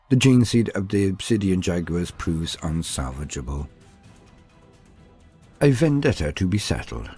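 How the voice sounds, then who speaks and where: A man's voice: fairly low pitch, darkish tone, husky. A man reading a scripted, dramatic narration of fantasy war lore as a storyteller.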